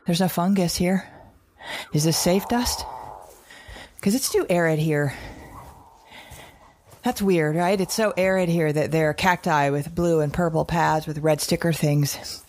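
A woman's voice: fairly low pitch, a little slow, pauses often, a little mellow, a little hiss or hum, lightly acted. An actress playing an anxious but defiant mother surviving a wild world in an audio drama monologue, fretful and questioning herself in broken phrases. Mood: agitated, anxious. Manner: fretful, self-questioning, fragmented, repeating herself. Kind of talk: audio drama monologue, recorded-journal narration.

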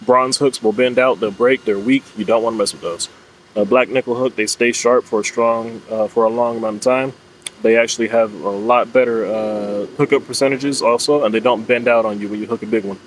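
A man's voice: medium pitch, a little fast, low-quality recording. A man in his thirties giving an informal, plain-spoken and instructive product explanation to camera as a fishing vlogger. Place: outdoors under leafy trees. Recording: clear steady outdoor background noise.

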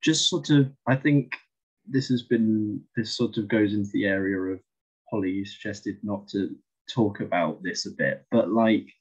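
A man with a low, slightly husky voice puts a question to the panel. He sounds hesitant and keeps restarting his sentences. The audio comes through a dull, lo-fi video call. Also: moderate pace. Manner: hesitant, full of "sort of" and restarts. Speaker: audience questioner.

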